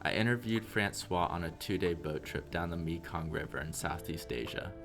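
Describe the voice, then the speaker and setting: A man narrates a documentary in a very deep, gravelly voice. His delivery is scripted, presenting the people he has interviewed. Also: normal speed, music in the background.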